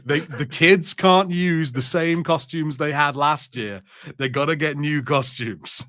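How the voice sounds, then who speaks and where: A man's voice: fairly high pitch, a little slow, fairly bright tone, no echo. A man co-hosting a podcast, chatting casually and jokily about films, with some swearing. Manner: informal, jokey, sweary. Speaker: podcast co-host. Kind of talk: casual film chat on a watch party.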